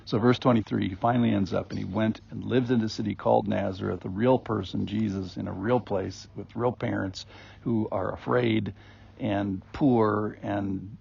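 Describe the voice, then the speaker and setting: A man giving an informal daily Bible reflection as a podcast host, rambling and conversational with many ums and restarts, in a gravelly, husky voice. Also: lowish voice, steady tone, normal speed, darkish tone. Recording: dull lo-fi recording.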